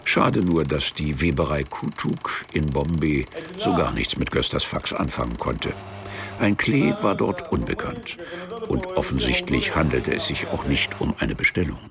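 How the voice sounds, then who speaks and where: A male narrator of a literary radio play with an extremely low voice. It has a gravelly creak and moves with lively intonation. Music runs behind the narration. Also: a little slow, crowd noise.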